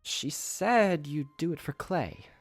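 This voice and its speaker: A man's voice: fairly high pitch, lively intonation, takes it slowly, faint music behind, full character voice. A man playing a cheeky young dragon messenger in an audio drama, reedy and offhand, flippant and a bit impatient. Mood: nervous, offhand.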